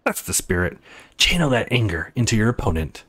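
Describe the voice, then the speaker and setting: A man's voice: lowish voice, sing-song, fairly quick, a bit bright, voice pushed down. Man playing supportive, earnest young male friend. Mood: reassuring. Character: encouraging, loyal young man, friend and coach.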